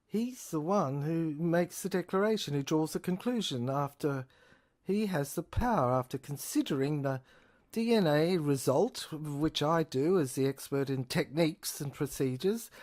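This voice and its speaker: A woman playing a rambling, sardonic lab technician who lectures haltingly in an audio drama, repeating and correcting herself and asking rhetorical questions. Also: deep voice, a little mellow. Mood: explaining. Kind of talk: improvised-sounding lecture monologue in an audio drama.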